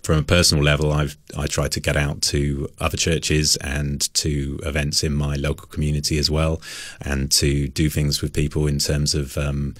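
A man with an extremely deep voice talks casually as a radio interview guest. He rambles gently and hesitates as he goes. The sound is close and dry, with hardly any room around it. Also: completely flat delivery, moderate pace, no room sound. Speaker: interview guest, church musician.

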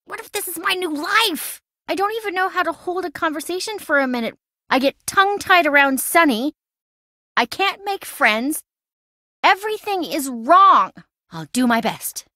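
Video game role: an insecure, boy-crazy young woman, dramatic, very high voice with big swings. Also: normal speed, dry recording, clean studio sound, full character voice. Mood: anxious, despairing. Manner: dramatic, expressive, emotionally swinging.

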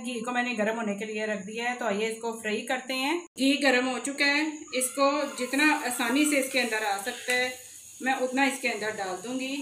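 A high-voiced woman talks viewers through a recipe one step at a time. She is recorded in an echoey home kitchen with a faint hum of noise in the background. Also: a bit bright. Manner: instructive, addresses viewers directly. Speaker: cooking channel host.

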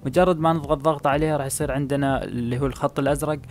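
A man's voice: a little high, steady tone, rapid-fire, slight background noise. A man giving a step-by-step screen tutorial, with frequent "aah" fillers. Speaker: video editing tutor. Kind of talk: screen-recorded app tutorial.

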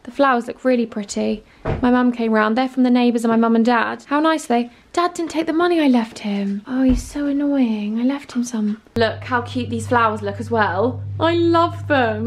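A young British woman chatting casually and effusively to camera in a home vlog, with an affectionate, excitable manner. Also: a little high. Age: young adult. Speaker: vlogger. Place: rooms inside a house. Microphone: camera mic, at arm's length or on a stand.

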